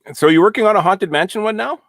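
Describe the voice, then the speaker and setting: A man's voice: high voice, very fast, no echo, clean studio sound. Laid-back joking male host. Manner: relaxed, joking, many fillers. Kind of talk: casual live-stream chat.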